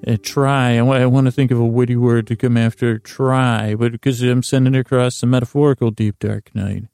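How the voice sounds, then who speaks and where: A man hosting a bedtime podcast with an improvised, halting monologue, meandering into tangents and self-deprecating corrections. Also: medium pitch, little pitch movement, darkish tone, smooth, dry recording, faint music behind. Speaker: podcast host.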